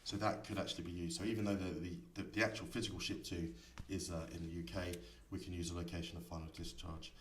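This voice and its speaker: A man giving a software tutorial, explaining each setting step by step in an instructor's manner. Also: low voice, little pitch movement, quick pace, hardly any pauses. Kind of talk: screen-recorded tutorial explanation. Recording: echoey room, distant mic, faint noise.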